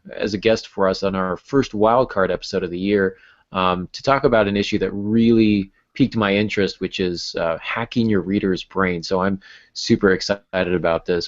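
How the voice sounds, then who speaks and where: A man with a fairly low voice co-hosts a podcast. He chats casually and tells things in a personal, conversational way. The recording is a little dull and lo-fi. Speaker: podcast co-host. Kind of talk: casual chat.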